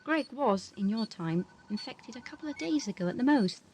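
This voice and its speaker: A woman playing a brisk, sardonic space station doctor in an audio drama, dry and assured. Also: fairly high pitch, lively intonation. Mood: stern. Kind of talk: radio drama acting. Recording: hissy amateur 1980s audio drama tape.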